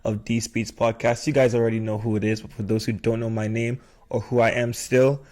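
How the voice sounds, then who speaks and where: A man's voice: medium pitch, little pitch movement, brisk, darkish tone. Casual man hosting a podcast. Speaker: podcast host. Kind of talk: casual chat.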